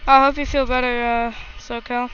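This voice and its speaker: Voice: medium pitch, little pitch movement, normal speed, pushed and bright. A livestream host giving casual live commentary and chatting with viewers. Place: outdoors near an airport. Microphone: single microphone. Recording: dull lo-fi audio, outdoor ambient noise.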